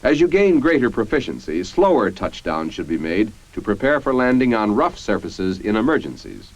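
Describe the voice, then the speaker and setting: A man's voice: lowish voice, sing-song. Dull-sounding 1950s American training-film narrator, male. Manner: formal, instructional, measured. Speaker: narrator of military instructional film.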